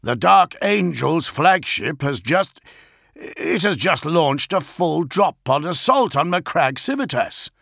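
A man's voice: on the high side, sing-song, takes it slowly, vocal fry, fairly bright tone, no echo, studio quality, pitched above the performer's own voice, heavily acted. A man voicing an alarmed, accusatory military officer in an audiobook, barking urgent reports. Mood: urgent disbelief. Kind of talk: audiobook character acting.

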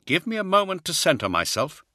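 Man playing an earnest, higher-pitched psychic warrior. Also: huge pitch swings, brisk, bright, forceful tone, no room sound, studio quality, heavily acted. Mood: wary. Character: earnest, cautious male psychic warrior, grim and wary. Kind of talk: audiobook character acting.

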